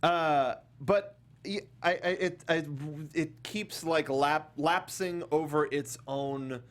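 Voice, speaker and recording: Youngish guy podcast host, kinda high voice, jokey and animated, trips over his words. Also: slow. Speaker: talk show host. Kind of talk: casual movie review panel chat.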